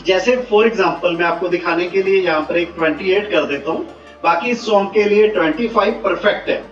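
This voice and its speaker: A man giving step-by-step software instructions, mixing in English technical words. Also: high voice, fairly quick. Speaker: software tutorial presenter. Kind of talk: step-by-step screen tutorial. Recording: muffled, roomy, distant mic, faint music.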